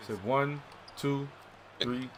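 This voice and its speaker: A young man in a casual, profane fan chat about a movie, talking in an animated, slangy way. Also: mid-range voice, drawn-out words, crowd noise. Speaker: co-host of a movie review.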